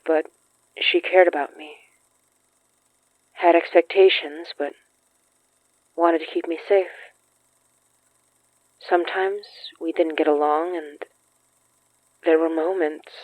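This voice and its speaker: A young summoner of ghosts in a horror audio drama, heard through a narrow, phone-like radio filter. The delivery is slow, halting and earnest, with a gentle, reassuring manner and a dry, close sound. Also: female voice, deep voice, steady tone, no room sound. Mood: wistful. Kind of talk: intimate audio drama acting. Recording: thin, phone-like band-limited sound.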